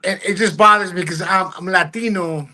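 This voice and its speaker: Man, first language spanish, guest, gushing, joking, bright tense voice, video call. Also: high voice, normal speed. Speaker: podcast guest. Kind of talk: casual sports podcast chat.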